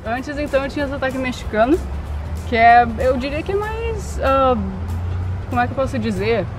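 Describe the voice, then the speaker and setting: A woman of about eighteen, chatting casually outdoors in a grassy field and pausing to think as she talks. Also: high voice, music in the background. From Brazil. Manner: relaxed, thinks aloud with pauses. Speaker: vlog co-host. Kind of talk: casual chat. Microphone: camera mic at arm's length.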